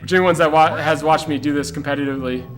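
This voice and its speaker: A man's voice: on the high side, steady tone, fast. A man giving rambling, casual live commentary while speedrunning a game, with lots of "like" and "uh" fillers. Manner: chatty and rambling, full of "like" and "uh"; laughs at himself between points. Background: game music and sound effects.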